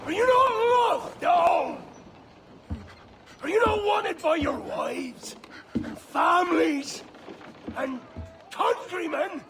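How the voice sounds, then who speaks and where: A man's voice: very high-pitched, gravelly, full character voice. A man voicing a raging, domineering pirate captain in a video game, with a theatrical, declamatory delivery.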